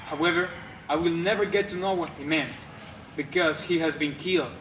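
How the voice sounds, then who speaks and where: A man playing an earnest exiled French aristocrat, telling his story in a formal, deliberate dramatic monologue. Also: fairly high pitch, muffled recording, natural voice. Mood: pensive, resentful. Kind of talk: scripted dramatic monologue of a classic novel. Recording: faint background noise, amateur recording.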